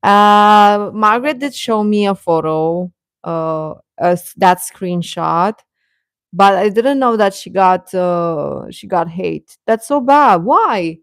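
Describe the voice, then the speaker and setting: A woman chatting casually as host of a live stream, rambling and halting, with a sarcastic streak. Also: mid-range voice, no room sound. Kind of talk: casual live-stream chat about pop fandom.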